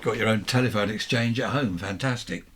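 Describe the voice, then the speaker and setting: Husky older southern English man, chatting casually. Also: on the low side, brisk. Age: 70s. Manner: rambling, chatty, self-questioning with tag questions. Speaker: amateur radio hobbyist presenting vintage equipment. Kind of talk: casual, unscripted show-and-tell.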